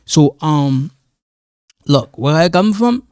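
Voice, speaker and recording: A man's voice: a little high, expressive, takes it slowly, darkish tone, clean tone, no room sound, clean studio sound. A man who is not a native English speaker teaching an English grammar lesson in a casual, repetitive way, often checking in with "all right?". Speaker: ESL teacher. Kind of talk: casual classroom explanation.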